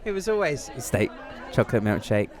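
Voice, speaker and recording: A man's voice: medium pitch, sing-song, normal speed, darkish tone, some chatter behind. A man chatting casually as a podcast guest. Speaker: podcast guest, former radio presenter. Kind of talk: casual chat.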